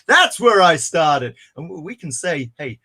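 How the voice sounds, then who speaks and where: A man co-hosting a casual comics livestream, chatty and rambling with bursts of excitement. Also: on the high side, unhurried, a little hiss or hum. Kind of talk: casual chat.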